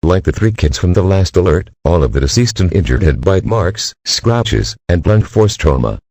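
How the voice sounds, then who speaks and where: A man's voice: deep voice, darkish tone, breathy edge, dry recording, exaggerated voice. A man voicing an impersonal emergency alert announcer, reading a scripted broadcast in a formal, robotic delivery.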